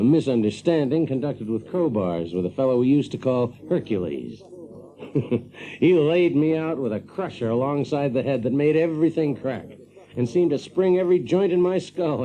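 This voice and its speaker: A man's voice: a little high, muffled recording, close to an everyday voice. An actor playing a brash, practical Yankee factory man who tells his tale in a folksy, chuckling way for an audio drama. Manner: folksy, chuckling, animated, drawling emphasis. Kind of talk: audio drama first-person storytelling.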